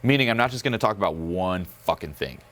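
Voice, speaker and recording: A man coaching about dating in casual, profane talk, acting out quick bits of dialogue. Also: sits low, expressive, a bit bright.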